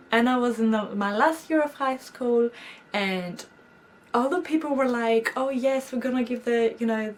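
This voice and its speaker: A young woman from Greece with a Greek accent, telling her story in a chatty, giggly vlog to camera. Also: fairly high pitch. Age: young adult. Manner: chatty, giggly, animated quoting of herself. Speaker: vlogger. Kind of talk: casual storytime chat to camera.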